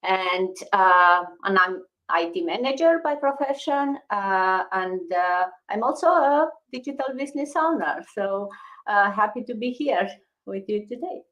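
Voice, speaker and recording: A middle-aged woman from Romania, a guest on an online call, introducing herself politely with many pauses and fillers. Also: medium pitch, lively intonation, fairly bright tone. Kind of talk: casual self-introduction.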